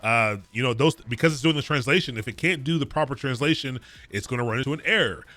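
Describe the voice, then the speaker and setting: Man, Baltimore accent, bootcamp coding instructor; bright, forceful delivery, dry close sound, fast and casual with lots of restarts. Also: mid-range voice, dry recording. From Baltimore area, Maryland. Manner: casual, talks quickly, repeats and restarts phrases; chats with viewers between points. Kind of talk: live-streamed lecture.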